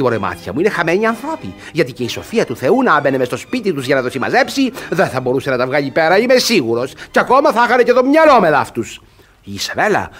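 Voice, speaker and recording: An actor playing a strict, suspicious guardian in a classical comedy for radio, grumbling and moralizing in a curt, husky way. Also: male voice, fairly high pitch, fairly bright tone. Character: grumpy, suspicious, strict middle-aged guardian of a young woman. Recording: radio theatre recording with music.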